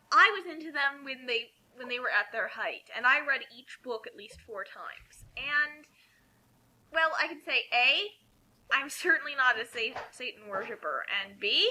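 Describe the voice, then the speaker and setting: A woman giving casual commentary on a reading, explaining things in a halting, conversational way. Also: sits high, expressive, pushed and bright, slight background noise, low-quality recording. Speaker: co-host of a group fanfiction reading.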